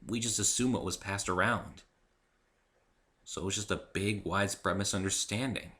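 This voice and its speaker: Man doing a brash, bright, boyish girl pegasus. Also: low voice, moderate pace. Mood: wary, relenting. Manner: blunt, cocky, casual. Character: brash, sporty, boyish female pegasus pony.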